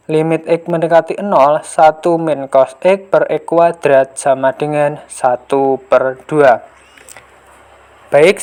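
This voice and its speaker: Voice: mid-range voice, darkish tone. A math tutor narrating a lesson step by step in a methodical, explanatory way.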